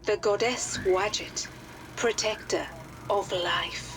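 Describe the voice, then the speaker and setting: An actress playing a composed upper-class British lady who gives formal, measured briefings. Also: mid-range voice, steady tone, over music. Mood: explaining calmly. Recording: clear ambient noise behind.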